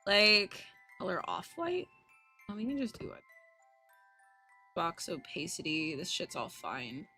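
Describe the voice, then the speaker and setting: A woman hosting a casual game livestream, chatting bluntly and irreverently and reading on-screen text aloud in an animated way. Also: fairly low pitch, slow pace, long pauses, soft background music. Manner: foul-mouthed, irreverent, blunt. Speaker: live streamer hosting a visual novel playthrough.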